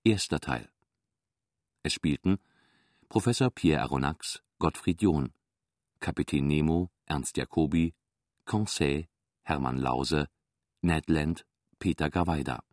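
Very deep-voiced male radio announcer. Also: takes it slowly, pauses often, a little mellow, no echo, studio quality. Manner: formal, clipped phrases. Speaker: announcer of title and cast.

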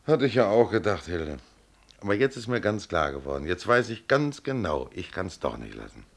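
Older male, very low voice, as a wistful, chatty ex-driver in radio drama; noticeable vehicle noise. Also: big pitch swings, moderate pace. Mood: wistful, stubborn. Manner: chatty, affectionate, sentimental muttering to himself. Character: proud, wistful old ex-tram driver, stubbornly in love with his tram. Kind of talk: German radio play acting. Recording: clearly noisy, tram rumble behind the voice. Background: vehicle and ambience noise.

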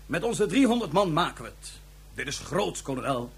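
An actor playing a smug, pompous dictator in a radio drama, mockingly polite and bent on conquest. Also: male voice, a little high, big pitch swings, moderate pace, breathy edge, exaggerated voice. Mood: grandiose gloating. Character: smug megalomaniac dictator plotting to seize the solar system.

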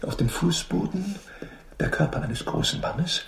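Radio play role: sly master detective, highish animated voice, clipped and assured, echoey room. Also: male voice, measured pace, vocal fry, full character voice. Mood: brisk, observant. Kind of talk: radio drama acting.

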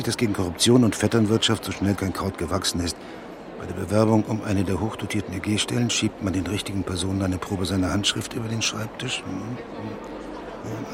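Smug male reporter, lowish voice, murmuring and reading to himself, radio drama amid traffic noise. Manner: muttering to himself, reading aloud. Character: self-satisfied, muttering male newspaper journalist. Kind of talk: radio play acting. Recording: loud outdoor street and traffic noise.

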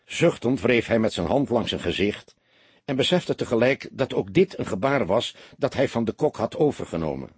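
Husky male audiobook narrator. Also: mid-range voice, animated, moderate pace, dry recording. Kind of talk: expressive scripted narration.

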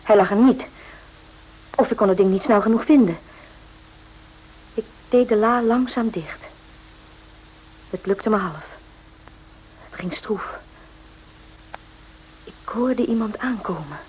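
A woman playing a guarded, correct doctor in a radio play, speaking in a measured, formal way. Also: mid-range voice, a little mellow, close to an everyday voice. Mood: uneasy. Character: uneasy, firm female army doctor, correct and guarded. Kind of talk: radio drama acting with narration. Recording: noisy old radio play recording.

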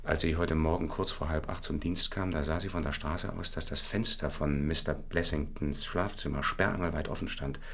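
An actor playing a shaken, earnest physician who explains himself a little hesitantly in a German radio drama. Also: male voice, a bass voice, steady tone, moderate pace, gravelly, hardly any pauses, bright, forceful tone, heavily acted. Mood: shaken, reporting.

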